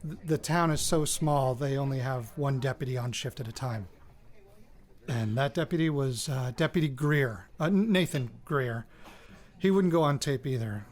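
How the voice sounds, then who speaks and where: A man's voice: medium pitch, normal speed, natural voice. A man with an American accent playing a wry radio reporter in an audio drama, rambling hesitantly into his recorder and interrupting himself. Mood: wry, chatty. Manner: hesitant, self-interrupting, wryly joking. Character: rattled, wry young man, radio reporter returning home.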